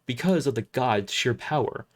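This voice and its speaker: A man's voice: mid-range voice, expressive, moderate pace. Man narrating a sci-fi audio drama live. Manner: stumbles over scripted words and rereads them. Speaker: storyteller.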